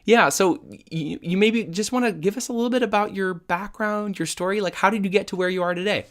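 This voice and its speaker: A man's voice: sits high, a little fast, fairly bright tone. A man hosting a podcast with a nasal, congested voice while recovering from illness, talking in a playful, self-deprecating way. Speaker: podcast host. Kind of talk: casual podcast interview. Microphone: video call.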